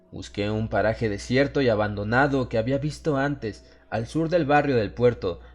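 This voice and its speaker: A man narrating a horror story in the first person, with a measured, literary, dramatic delivery. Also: medium pitch. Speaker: audiobook narrator. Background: music under the voice.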